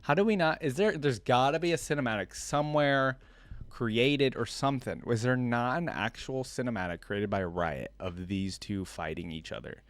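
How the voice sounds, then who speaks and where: A man hosting a reaction video, switching between reading aloud and casual, rambling commentary as he thinks out loud. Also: mid-range voice, normal speed, no room sound.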